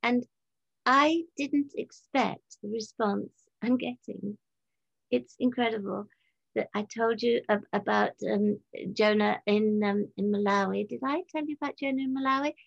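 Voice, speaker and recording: A woman's voice: a little high, steady tone, measured pace, creaky, no room sound. A woman in her seventies chatting as an interview guest on a video call, telling anecdotes and quoting people as she goes. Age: 70s. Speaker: interview guest, leader of a community organisation. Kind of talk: casual anecdotal interview talk. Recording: dull, lo-fi video-call audio.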